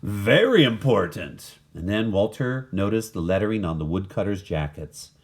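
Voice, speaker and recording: A man reading a children's picture book aloud in an animated, dramatic manner. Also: sits low, moderate pace. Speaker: story reader.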